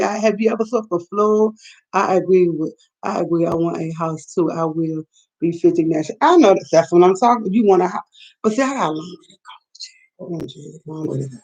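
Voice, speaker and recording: A woman's voice: on the low side, a little mellow. A woman, rambling through a late-night live chat and reacting to viewers' comments. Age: 50s. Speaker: livestream host. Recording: dull lo-fi sound.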